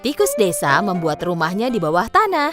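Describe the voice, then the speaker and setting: A woman's voice: medium pitch, huge pitch swings, moderate pace. A woman narrating a scripted children's story. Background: music.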